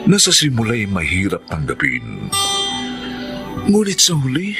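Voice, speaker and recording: A man narrating and announcing a radio drama in a solemn, scripted style with dramatic pauses, over music. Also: fairly low pitch, wildly swooping pitch. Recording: music behind the voice.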